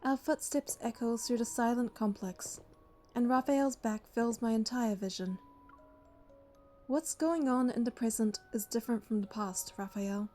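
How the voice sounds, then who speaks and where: Woman acting higher-voiced, earnest young bodyguard heroine. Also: a little slow, mellow, faint music behind, lightly acted. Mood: tender, narrating. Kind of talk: romance game narration and dialogue.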